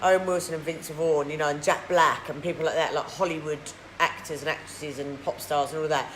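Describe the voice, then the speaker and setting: Husky tired woman on a live stream. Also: deep voice, steady tone, brisk. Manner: chatty and rambling, filled with "like". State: tired, throat huskier than usual. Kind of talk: casual live-stream chat answering viewer comments. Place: indoors in a hot treatment clinic room. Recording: echoey room with loud background noise.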